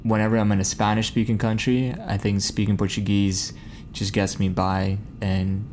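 A man from Kazakhstan whose first language is Russian, a guest on a podcast, speaking in a relaxed, reflective way. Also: lowish voice, normal speed, a little hiss or hum. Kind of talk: casual podcast chat.

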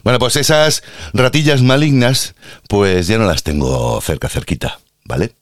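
A man's voice: fairly low pitch, unhurried. A man with an Andalusian accent hosting a casual podcast monologue, ranting in a sarcastic, playfully theatrical way about work as a healthcare worker.

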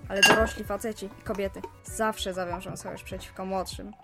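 A woman's voice: mid-range voice, flat delivery, a little fast, creaky, over loud music, close to an everyday voice. A woman voicing a precocious young boy in an audio drama, pompous, bookish and pedantic. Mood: smug, pedantic. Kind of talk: Polish audio drama dialogue.

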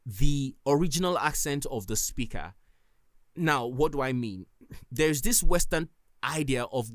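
A man's voice: a little high, dry recording, studio quality. A man with a Nigerian accent, hosting a solo podcast and giving earnest, emphatic advice. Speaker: podcast host.

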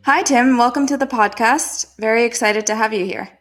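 A woman's voice: fairly high pitch, normal speed, faint music behind. A woman hosting a podcast, reading an upbeat introduction and asking interview questions with enthusiasm. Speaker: podcast host.

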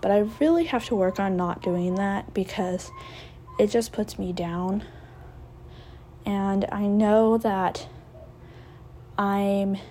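Slow-talking young woman, mellow voice. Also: medium pitch, slight background noise. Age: 20s. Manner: rambling, reflective, many fillers and restarts. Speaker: podcast host. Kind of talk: casual solo podcast talk.

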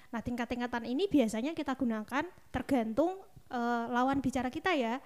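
A woman's voice: a little high, fairly quick, darkish tone. A woman with a Javanese accent, hosting a casual discussion and explaining things to listeners conversationally. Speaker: podcast discussion host.

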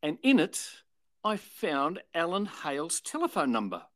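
Older aussie guy, ex radio host, tells stories, slightly high voice, dry close sound. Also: unhurried, dry recording. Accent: Australian. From Australia. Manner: chatty, animated retelling with voiced quotes. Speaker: retired radio and television presenter. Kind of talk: anecdotal interview storytelling.

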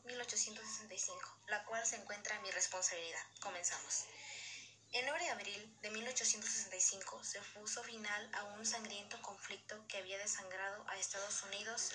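A woman's voice: medium pitch, rough recording. A woman presenting in a formal way, reading scripted educational narration aloud.

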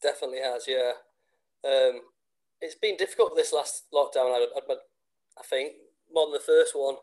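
A man's voice: medium pitch, normal speed, a little mellow. A man in his thirties, a teacher with a cold and a sore throat, answering interview questions hesitantly with many restarts. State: congested with a cold.

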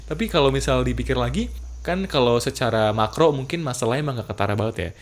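A man, talking in relaxed podcast chat. His voice sits at an ordinary middle pitch. He drops English phrases into his slangy talk. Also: fast talker. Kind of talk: casual chat.

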